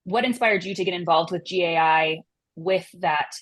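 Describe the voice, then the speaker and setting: Casting: woman host, low voice, asks halting questions on a video call. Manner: hesitant questions with fillers. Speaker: webinar host. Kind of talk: interviewing a guest.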